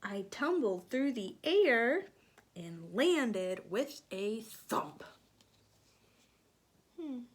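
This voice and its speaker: A woman reading a picture book aloud to young children, gently asking them questions and sometimes dropping to a soft whisper. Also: on the high side.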